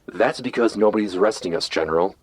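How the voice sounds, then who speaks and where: An actor playing a defiant, sarcastic armored superhero who talks bluntly in an audio drama. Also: male voice, lowish voice, fast, crowd noise, lightly acted. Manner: blunt, sardonic.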